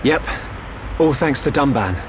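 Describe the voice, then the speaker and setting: A young man acting an earnest, brooding young hero in a video game, sincere and soft-spoken. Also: mid-range voice, expressive, a little slow, slight background noise, close to an everyday voice. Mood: resolved. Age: young adult. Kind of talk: dramatic video game dialogue. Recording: music and rain behind voice.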